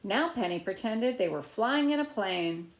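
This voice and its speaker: A middle-aged woman reading a children's picture book aloud with deliberate phrasing. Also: mid-range voice, animated. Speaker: storybook reader. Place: small room at home by a window, indoors. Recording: roomy, echoey indoor sound.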